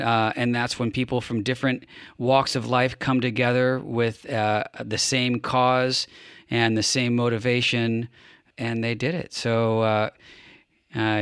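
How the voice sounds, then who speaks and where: A man's voice: mid-range voice, a bit bright, clear, resonant tone, no room sound. Man hosting a podcast, clean dry sound. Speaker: podcast host. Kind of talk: conversational podcast hosting and interviewing.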